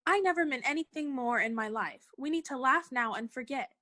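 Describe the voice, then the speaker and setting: A woman's voice: high voice, expressive, fairly quick, bright, forceful tone. A woman playing a resolute young woman in a radio play, firm and urgent as she steadies others. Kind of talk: 1940s-style radio play acting.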